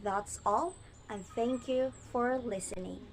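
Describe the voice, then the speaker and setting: A woman's voice: a little high, takes it slowly, a little hiss or hum. A young woman presenting a math lesson as a student reporter, explaining each step carefully. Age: young adult.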